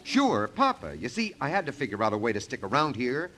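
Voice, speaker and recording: A man's voice: medium pitch, huge pitch swings, brisk, loud music behind the voice. An actor playing a scheming, wisecracking man posing as a father, in hammy 1940s radio comedy style. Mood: comic mischief. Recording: noisy, crackly 1940s radio recording.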